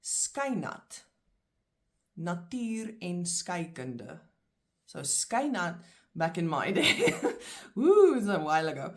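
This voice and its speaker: A South African woman with a low voice works through a vocabulary list. She is middle-aged, speaks Afrikaans as her first language, and says each word slowly and distinctly before translating it. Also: very animated. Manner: slow, clear word-by-word pronunciation. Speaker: language teacher. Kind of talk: vocabulary lesson.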